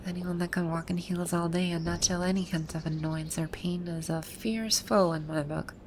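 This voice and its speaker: A woman playing a haughty, grouchy video game villain boss with a secretly soft side, speaking in imperious, formal grumbles in an ASMR roleplay. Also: on the low side, fairly even intonation, moderate pace, slight background noise, natural voice. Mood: musing, grouchy. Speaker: audio roleplay voice actor.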